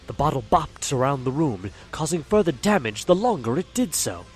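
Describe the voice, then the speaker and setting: A man's voice: fairly high pitch, very animated, normal speed, music in the background. A man narrating a fan audio drama in a hammy, theatrical way, speaking straight to the audience. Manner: hammy, fourth-wall-breaking storyteller.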